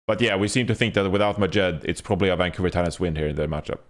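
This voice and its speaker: A man hosting a casual esports podcast panel, talking in a measured, often hedging way. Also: low voice.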